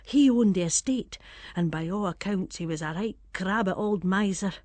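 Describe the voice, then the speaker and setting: A woman's voice: mid-range voice, moderate pace, a little mellow, dry recording, lightly acted. An actress playing a gossipy, superstitious Scottish village woman, chatting conspiratorially in Scots dialect as a dramatic monologue. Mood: storytelling, confiding.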